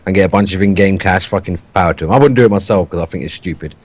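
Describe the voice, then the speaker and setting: Low-voiced fast-talking British man. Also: dry recording. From Britain. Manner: sweary, argumentative, self-described monotone. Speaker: podcast co-host. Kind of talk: casual gaming podcast chat.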